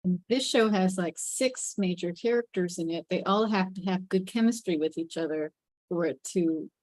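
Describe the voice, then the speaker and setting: A woman's voice: lowish voice, moderate pace, darkish tone, dry recording, low-quality recording. A woman giving her view in a casual group discussion. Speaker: discussion participant.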